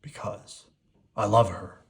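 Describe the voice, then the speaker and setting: Man playing a gruff storybook beast with a tender heart. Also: on the low side, fairly even intonation, slow pace. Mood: sad, resigned. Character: big gruff male beast, sulky yet tender-hearted.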